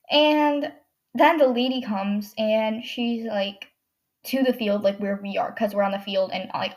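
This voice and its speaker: A teenage girl hosting a podcast, telling a story in a rambling way with lots of fillers and self-corrections, her nose stuffed up. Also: on the high side. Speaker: podcast host. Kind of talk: casual storytelling monologue.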